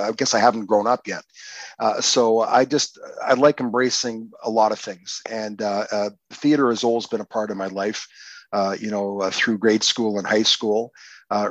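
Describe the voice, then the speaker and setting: An older man from Canada, a guest poet, answering in a halting, casual way with many fillers and restarts. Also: lowish voice, no echo, dull audio. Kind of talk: casual podcast interview.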